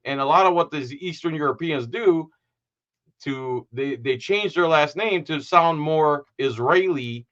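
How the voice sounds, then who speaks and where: A man's voice: on the high side, measured pace, a bit bright, muffled recording. A man hosting a podcast, giving rambling, sarcastic political commentary. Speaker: podcast host.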